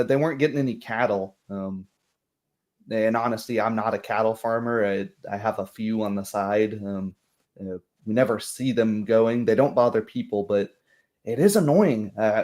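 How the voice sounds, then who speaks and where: A man's voice: fairly low pitch, darkish tone, lightly acted. A man voicing a tall, gaunt male elf farmer in a tabletop game, weary and frank, talking hesitantly with sighs. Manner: sighing, hesitant, candid.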